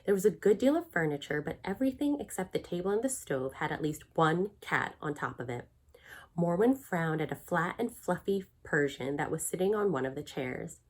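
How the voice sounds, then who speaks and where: A middle-aged woman narrating a children's book aloud in an expressive, clearly phrased storytelling manner. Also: lowish voice, normal speed. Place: small furnished room at home.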